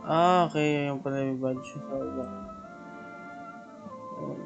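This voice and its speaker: A man with a Filipino accent chatting casually as a game streamer, musing aloud in a low-key way. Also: fairly even intonation, measured pace, creaky, muffled recording. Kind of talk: casual livestream chat. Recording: game music behind the voice.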